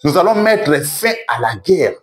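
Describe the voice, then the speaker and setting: A man's voice: fairly high pitch, big pitch swings, unhurried. Congolese man, declamatory show host. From Democratic Republic of the Congo. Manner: declamatory, emphatic repetition. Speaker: online show host, commentator. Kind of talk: animated political commentary to camera, mixing French and Lingala.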